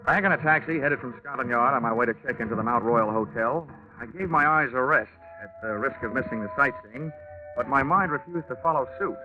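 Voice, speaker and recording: An actor playing a wry, hard-boiled American investigator in a 1940s radio detective drama, deadpan and wisecracking. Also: male voice, medium pitch, a little fast, natural voice. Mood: hard-boiled, narrating. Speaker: detective narrator. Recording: muffled 1940s radio recording with music.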